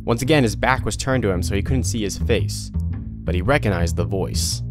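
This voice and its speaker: A man's voice: lowish voice, lively intonation, moderate pace, over music. A man narrating a scripted audio drama as storyteller.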